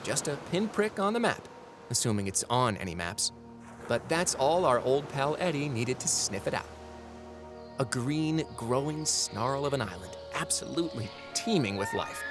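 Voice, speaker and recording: Casting: actor for confiding, ominous male narrator, medium pitch, theatrical relish, audio drama with clear music underneath. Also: sing-song, moderate pace, close to an everyday voice. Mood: sly, ominous. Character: sly, conspiratorial male storyteller with ominous relish.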